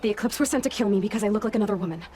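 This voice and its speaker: A woman's voice: mid-range voice, racing, dark tone, slight background noise, close to an everyday voice. A woman playing a stern young huntress in a video game, terse, firm and determined. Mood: demanding. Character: stern young female huntress, determined interrogator, ultimately merciful. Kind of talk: dramatic video game acting. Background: faint background music.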